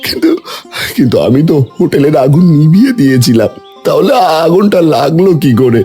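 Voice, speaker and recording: A man's voice: on the high side, animated, fairly quick, dark tone, faint music behind. A man voicing a middle-aged village hotel owner in a cartoon, stammering and lamenting his ruin.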